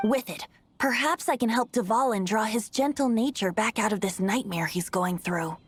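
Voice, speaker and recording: A woman's voice: a little high, normal speed, faint music behind, close to an everyday voice. A woman voicing a playful, boastful young male bard in a video game, with a light, teasing manner. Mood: earnest.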